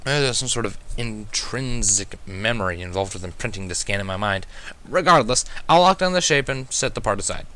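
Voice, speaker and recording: A man's voice: lowish voice, bright, forceful tone, smooth. A man reading a first-person story aloud as an amateur narrator, in a wry, dry manner. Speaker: amateur fan fiction reader.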